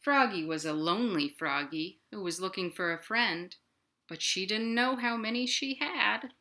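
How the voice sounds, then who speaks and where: A woman's voice: medium pitch, normal speed. An American woman hosting a storytime for young children, reading and chatting expressively and speaking straight to the kids. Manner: expressive, addressing children directly with questions. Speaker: children's librarian and storytime host. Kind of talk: animated picture-book read-aloud and chat for young children. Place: indoors at her home.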